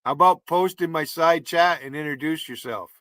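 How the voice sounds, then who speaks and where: A man's voice: a little high, moderate pace, sharp tone, no echo, studio quality. Blunt rambling northern New York man. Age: 50s. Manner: blunt, rambling, swears freely. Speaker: livestream host. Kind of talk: casual chat.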